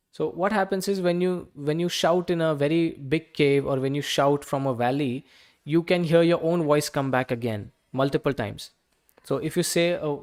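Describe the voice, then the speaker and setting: A man with an Indian accent reading a bedtime story to children and explaining it simply as he goes. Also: on the high side.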